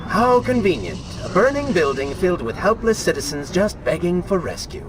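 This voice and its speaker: An actor playing a prince's selfish, sardonic dark alter ego in a video game, with a taunting, smug manner. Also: male voice, high voice, sing-song, normal speed, noisy background. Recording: loud game music behind.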